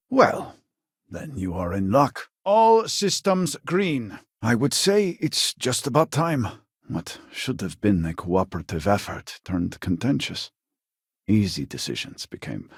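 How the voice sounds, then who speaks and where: Casting: devout, weary scientist for sci-fi game; lowish husky voice, formal and earnest delivery. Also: male voice, no echo, clean studio sound, exaggerated voice. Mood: urgent, rallying, then measured, weary. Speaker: video game voice actor. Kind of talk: scripted video game character dialogue.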